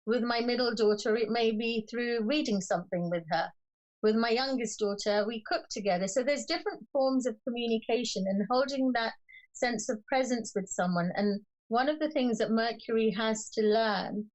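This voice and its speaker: A woman's voice: a little high, poor audio. A middle-aged woman giving spiritual teaching as a panelist, drawing on personal examples.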